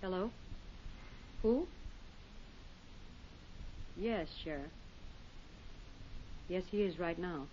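Tired schoolmistress, guarded, polite but curt, vintage radio drama, dull hissy sound. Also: female voice, on the low side, very slow, pauses often, mellow. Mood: tired, evasive. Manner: polite, formal, curt and evasive. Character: guarded, weary female school principal. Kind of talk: 1950s radio drama acting. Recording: muffled, noisy 1950s radio recording.

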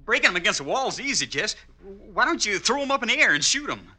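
An actor playing a nervy, chattering young outlaw in a classic radio western, with a folksy, excitable way of talking. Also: male voice, sits high, quick pace, heavily acted. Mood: excited, panicky.

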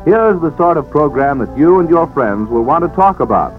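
A male announcer reads scripted radio copy in a formal 1940s manner. His voice sits a little high. Music plays behind him on a tinny old broadcast recording. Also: animated, moderate pace. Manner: formal, polished.

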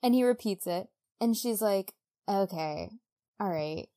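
A woman's voice: medium pitch, lively intonation, unhurried, warm tone. A woman co-hosting a podcast, recapping a book casually and acting out dialogue in informal modern slang. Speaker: podcast co-host.

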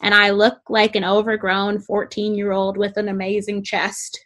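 A young woman with a sweet, squeaky voice, chatting casually about music and peppering her talk with "like". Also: monotone, moderate pace, fairly bright tone. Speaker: co-host reviewing music. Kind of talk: casual chat.

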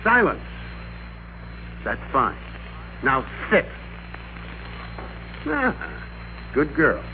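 An actor playing a meek middle-aged American office worker turned blustering, bossy master, in emphatic classic radio drama style. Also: male voice, medium pitch, huge pitch swings, extremely slow, vocal fry, exaggerated voice. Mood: commanding. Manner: emphatic, theatrical, commanding. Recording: muffled classic radio recording with hum.

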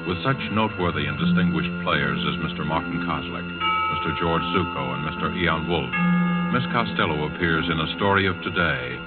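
An ominous male host with a remarkably deep voice and formal, portentous delivery. He introduces a 1940s radio thriller on a narrow-band recording, with loud music behind him. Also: moderate pace. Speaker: announcer, host.

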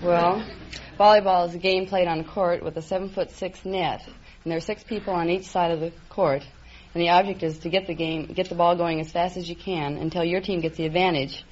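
A woman's voice: fairly low pitch, fairly even intonation, brisk, darkish tone. A woman answering interview questions as a contestant in a straightforward, explanatory way. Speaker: quiz contestant, volleyball player. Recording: dull old radio broadcast, faint noise.

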